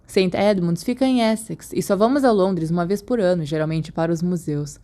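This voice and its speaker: A woman's voice: fairly low pitch, moderate pace, mellow. A woman with a Brazilian accent giving a scripted audiobook-style narration of fan fiction. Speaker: podfic narrator.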